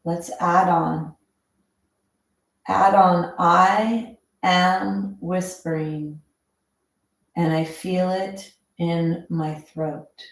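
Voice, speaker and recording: A woman's voice in a very reverberant room, speaking very slowly and fairly low. She guides a breathing meditation as an instructor, calm and measured, with long pauses between short phrases. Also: gravelly.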